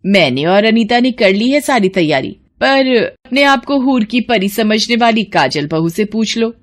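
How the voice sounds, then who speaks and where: A woman's voice: a little high, lively intonation, unhurried. A woman voicing a harsh, contemptuous older mother-in-law in a cartoon family drama, scolding and sneering dramatically. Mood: sarcastic. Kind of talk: Hindi cartoon family melodrama acting.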